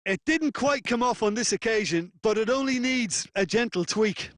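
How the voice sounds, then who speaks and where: A man's voice: sits high, expressive, normal speed, no room sound. A man voicing an analytical football co-commentator in a video game, explaining play in a conversational way.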